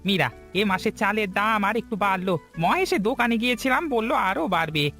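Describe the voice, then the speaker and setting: A man voicing a kind, hardworking village woodcutter husband in a Bengali cartoon story, earnest and soft-hearted. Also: high-pitched, animated, moderate pace, faint music behind, heavily acted. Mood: tender, weary.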